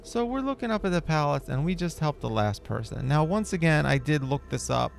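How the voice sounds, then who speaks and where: A man's voice: fairly high pitch, very animated, fairly quick, creaky, slight background noise. A man hosting a gameplay video, giving casual, rambling, laid-back commentary in character.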